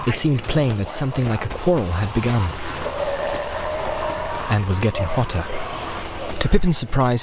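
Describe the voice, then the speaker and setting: A man narrating a fantasy audiobook as a scripted storyteller. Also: mid-range voice.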